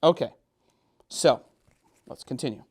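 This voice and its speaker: A man's voice: a little slow, a little mellow. A middle-aged man hosting a children's storytime in a casual, playful and self-deprecating way, chatting and joking straight to young listeners. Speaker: storytime host.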